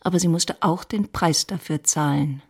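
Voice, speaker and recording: A woman's voice: deep voice, moderate pace, darkish tone, breathy edge. A woman narrating a literary radio drama in a scripted storytelling style.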